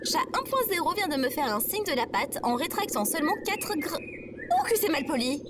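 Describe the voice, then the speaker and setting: A woman's voice: high-pitched, huge pitch swings, heavy background noise. A woman playing a sarcastic, touchy female robot companion in a comedic audio drama. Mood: wry. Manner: sarcastic, quick to take offence. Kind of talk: comedic audio fiction acting.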